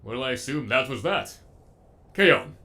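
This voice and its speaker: A man's voice: medium pitch, sing-song, unhurried, vocal fry, sharp tone, full character voice. A man voicing a sadistic, erudite robot villain with an eloquent, menacingly polite delivery, as in a comic dub. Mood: mocking, menacing.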